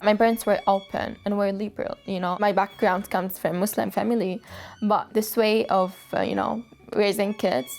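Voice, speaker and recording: A young woman speaks thoughtfully and a little haltingly, often pausing on small fillers as she tells her story. Her voice sits at an ordinary middle pitch, with music playing clearly beneath her. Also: a little mellow. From Syria. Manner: reflective and hesitant, frequent "you know" fillers. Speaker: interviewee. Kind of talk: documentary interview and personal testimony. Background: background music.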